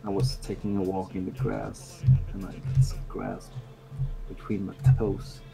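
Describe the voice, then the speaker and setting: A man's voice: sits low, completely flat delivery, unhurried, creaky. A young man streaming game development, joking around in casual chat from a small room, a little music behind. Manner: playful, teasing, jokey rambling.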